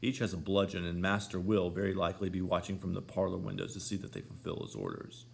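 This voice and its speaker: A man with a deep, gravelly voice voicing a sensible middle-aged housekeeper speaking calmly and reasonably. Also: fast talker.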